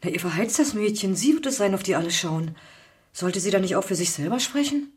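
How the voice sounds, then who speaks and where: An actress playing a concerned colleague in a radio drama. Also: female voice, mid-range voice, lively intonation, fairly quick, close to an everyday voice. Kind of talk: naturalistic radio drama acting. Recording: distant, very noisy pickup.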